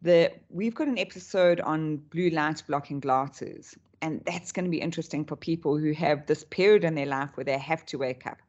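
A woman's voice: low voice, normal speed, few pauses, a little mellow, lo-fi sound. A woman coach, a guest on a podcast, explaining her subject in an enthusiastic, rambling way. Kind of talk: podcast interview.

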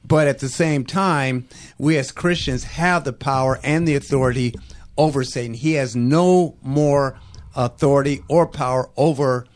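A man co-hosting a call-in radio show explains his answer earnestly, pausing on fillers as he goes. His voice sits at an ordinary middle pitch. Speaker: radio co-host.

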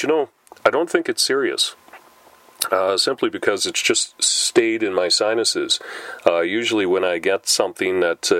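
A middle-aged man hosting a podcast, a little stuffed up from a cold, talking casually in an unhurried way with many "uh" pauses. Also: sits low, a bit bright, dry recording. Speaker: podcast host. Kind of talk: casual solo podcast talk.

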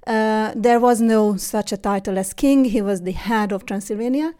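Hungarian woman in her forties, warm dark tone, unhurried and halting, interview guest. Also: mid-range voice, clean tone. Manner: hesitant, many fillers and restarts. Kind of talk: casual interview conversation.

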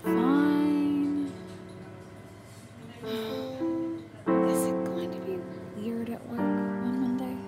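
A woman's voice: high-pitched, very slow. An actress playing an anxious young woman in a naturalistic audio drama, hesitant and over-explaining as she insists she is fine. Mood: uneasy. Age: young adult. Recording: music behind the voice.

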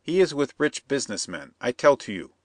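Guy putting on a pushy schemer voice, stiff broken phrasing, pitched up, dry sound. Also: very animated, a little fast, dry recording. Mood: insistent. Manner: insistent, persuasive. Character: scheming male plotter, vehement, stilted speech.

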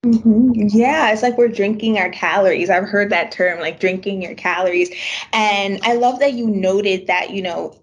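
A woman's voice: medium pitch, steady tone, normal speed. Woman host, casual chatty interviewer, lots of "like". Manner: chatty, heavy "like" fillers.

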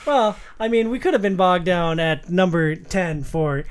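A man's voice: sits high, lively intonation, measured pace, slight background noise. A man hosting a podcast, leading a casual discussion about films. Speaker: podcast host. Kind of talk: casual moderated film discussion.

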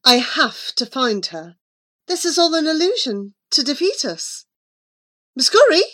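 A woman's voice: high voice, very animated, unhurried, a bit bright, voice pushed up, heavily acted. A woman voicing an earnest, anxious blind boy in a storybook tale, urgent and pleading. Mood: anxious, determined.

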